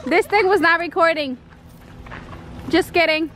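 A woman's voice: very high voice, unhurried, a bit bright. A young mother in a backyard, playful and bossy with the kids as she chats through a casual family vlog. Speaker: vlogger, mother. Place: backyard outdoors. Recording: outdoor noise.

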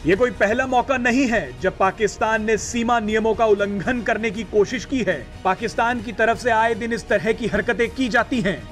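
Guy doing tv news voiceover, high voice, scripted, bit of music behind. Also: normal speed, few pauses, a bit bright. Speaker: news narrator.

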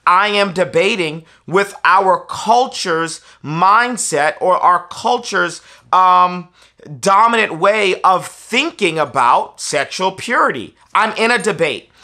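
A man's voice: high voice, bright, forceful tone. An American man in his thirties, a preacher giving an instructional talk in an emphatic, persuasive manner, repeating key phrases. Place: small furnished indoor room, seated on a sofa.

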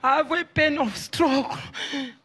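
A woman in her thirties with a Zambian accent giving a halting testimony to a preacher. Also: high-pitched, fairly bright tone. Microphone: handheld microphone. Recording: handheld mic in noisy church hall.

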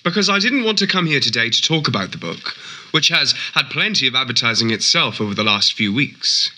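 Earnest, very bright-voiced self-styled messiah. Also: male voice, medium pitch, very animated, full character voice. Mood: composed, earnest. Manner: measured, earnest, formal. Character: earnest, composed man claiming to be a messiah. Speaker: talk show guest. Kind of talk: radio play acting as an interviewee.

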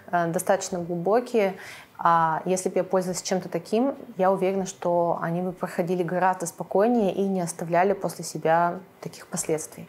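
A young adult woman explaining products to camera in a measured way, as a beauty vlogger. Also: on the low side, a little mellow. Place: small furnished room indoors.

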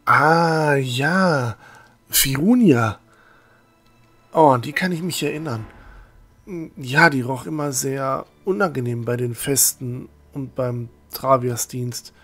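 Man playing a husky, whiny young novice priest. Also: mid-range voice, slow, fairly bright tone. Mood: hesitant. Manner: whiny, hesitant, easily disgusted. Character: squeamish, naive young male novice priest of a hearth goddess. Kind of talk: fan-made fantasy audio drama. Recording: background music under voice.

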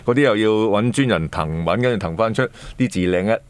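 A man's voice: fairly low pitch, quick pace, smooth, dry recording. A man with a Hong Kong Cantonese accent, co-hosting a radio talk show and explaining old times in a reminiscing way. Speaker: radio host. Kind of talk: casual radio chat. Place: radio studio, indoors. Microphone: studio boom mic.

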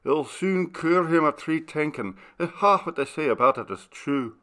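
A man voicing a garrulous, superstitious old female servant, gentle and devoted, in a storybook reading. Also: fairly high pitch, expressive, moderate pace, pitched above the performer's own voice. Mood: foreboding. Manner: gentle, foreboding prattle.

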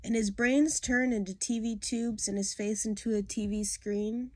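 Young female storyteller, fairly high voice, informal children's read-aloud. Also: fairly even intonation, normal speed, no echo. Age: young adult. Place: small room indoors.

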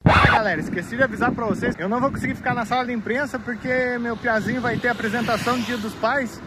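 A man's voice: extremely high pitch, fast talker, loud music behind the voice, low-quality recording. A man in his thirties from Brazil, chatting casually to viewers while filming himself on a phone outdoors at a motocross track. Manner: chatty, addresses viewers directly. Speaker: vlogger. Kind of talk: casual walk-and-talk vlog. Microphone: phone at arm's length.

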